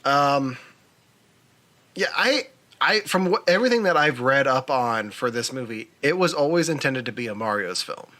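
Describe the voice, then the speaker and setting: Male podcast co-host, mid-range pitch, bright voice, casual conversational film talk. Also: expressive, moderate pace.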